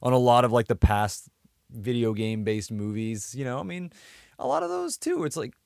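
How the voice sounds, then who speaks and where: A man's voice: medium pitch, sing-song, moderate pace, creaky, a little mellow, smooth, dry recording, clean studio sound. A man co-hosting a podcast, chatting casually and thinking aloud with plenty of hedging. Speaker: podcast co-host. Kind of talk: casual chat.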